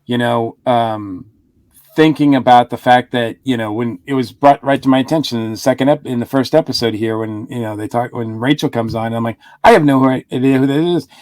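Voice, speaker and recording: A man in an easygoing podcast chat, circling his point through restarts and small laughs. His voice sits at a middle pitch and sounds close and dry, with no room around it. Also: fairly quick, a little mellow, dry recording. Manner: rambling, with frequent restarts and chuckles. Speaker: podcast co-host. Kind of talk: casual review chat.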